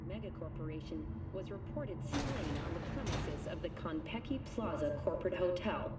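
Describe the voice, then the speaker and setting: An actress playing a formal, detached news reporter in a video game, reading a scripted report. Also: female voice, on the low side, animated, normal speed, echoey room, recorded from a distance, very noisy, natural voice.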